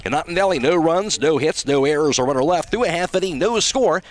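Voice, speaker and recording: A man's voice: a little high, lively intonation, a little fast, slight background noise. Male baseball play-by-play radio announcer. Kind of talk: live baseball play-by-play commentary. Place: outdoor ballpark radio broadcast.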